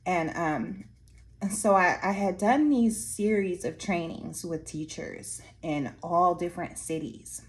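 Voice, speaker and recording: A woman who trains art teachers, losing her voice and sounding hoarse, talking slowly with many restarts. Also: on the low side. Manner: slow, halting, many restarts and fillers. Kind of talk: reflective casual monologue to viewers. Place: Anchorage area after workshops.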